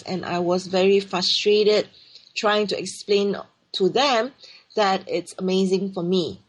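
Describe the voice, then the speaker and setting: A woman's voice: lowish voice, breathy edge, rough recording. A woman with a Singaporean accent hosting a radio talk show on her own, explaining earnestly and sometimes restarting her phrases. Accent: Singaporean English. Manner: earnest, explanatory, reads aloud then speaks freely with restarts. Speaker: radio show host and sexologist.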